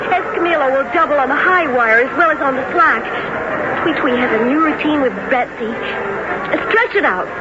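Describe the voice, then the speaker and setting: An actress playing a determined young circus owner, firm and earnest, in a 1970s radio drama. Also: female voice, sits high, normal speed, natural voice. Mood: urgent, commanding. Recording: muffled, noisy radio broadcast.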